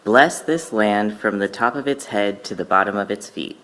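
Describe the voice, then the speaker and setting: A man reciting poetry at a worship service in a solemn, measured, incantatory way. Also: lowish voice, fairly bright tone, a little hiss or hum.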